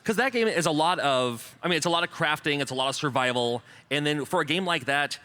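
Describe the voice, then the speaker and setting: A man moderating a convention panel who asks quick, eager questions. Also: fairly high pitch, pushed and bright.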